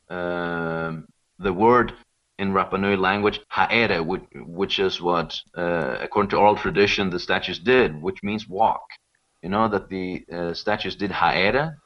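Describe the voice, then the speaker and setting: A man from Sweden, explaining things informally and conversationally as an interview guest. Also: low voice. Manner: informal, explanatory, often checking with "you know". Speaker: interview guest, local expert on Rapa Nui. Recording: dull, lo-fi call-like audio.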